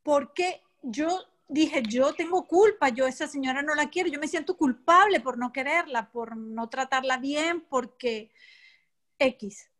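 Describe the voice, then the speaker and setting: A woman's voice: high-pitched, a little slow, fairly bright tone. A woman participant giving a personal account in casual speech, candid and emphatic. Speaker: seminar participant.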